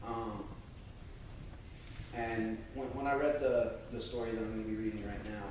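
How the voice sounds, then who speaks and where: An American man speaking earnestly and a little haltingly to a congregation, as a church member sharing testimony and scripture. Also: lowish voice, steady tone, darkish tone. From United States. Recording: very echoey room, distant mic, faint noise.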